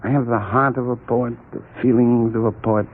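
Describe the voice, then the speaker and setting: An actor playing a genteel, self-loathing blackmailer in a 1940s radio drama, speaking in a mannered, fussily polite, husky way. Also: male voice, mid-range voice, fairly even intonation, moderate pace, natural voice. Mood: wry, smug. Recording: muffled, narrow-band 1940s radio recording.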